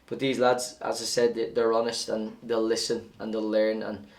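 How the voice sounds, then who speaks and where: A man, a football coach giving a measured, plain-spoken post-match interview. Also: on the low side, little pitch movement, darkish tone, far from the mic.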